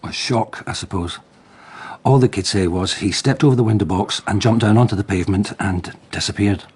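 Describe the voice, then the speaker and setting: A man acting in a radio drama as a weary provincial police detective, matter-of-fact and resigned, with a husky, rough voice. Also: fairly low pitch, fairly quick, a little mellow.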